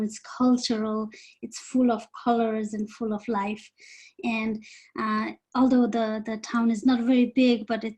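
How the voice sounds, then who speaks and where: A woman from Nepal, presenting earnestly and haltingly over a video call. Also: fairly high pitch, a little mellow. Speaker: volunteer presenter. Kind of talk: informal online presentation.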